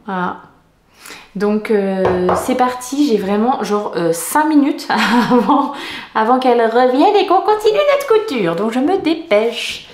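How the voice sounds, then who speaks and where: A woman's voice: fairly high pitch, lively intonation, unhurried, a little mellow, a little hiss or hum. Middle-aged woman, casual vlogger, self-deprecating, filler-heavy, echoey room. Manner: chatty, self-mocking, many fillers and restarts. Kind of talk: casual vlog chat. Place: home kitchen and dining room.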